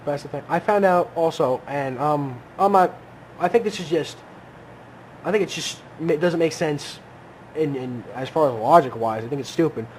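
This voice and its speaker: A man's voice: on the high side, moderate pace, slight background noise, low-quality recording. A man co-hosting a podcast, chatting casually about politics and often stopping to restart his sentences. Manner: rambling, many restarts and hedges. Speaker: podcast co-host.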